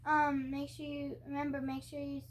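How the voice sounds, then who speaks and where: High-voiced young girl reading haltingly. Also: completely flat delivery, slight background noise. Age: child. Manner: halting, sounding out words, stumbling over harder ones. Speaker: young reader co-hosting a family reading video. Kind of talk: reading a storybook aloud.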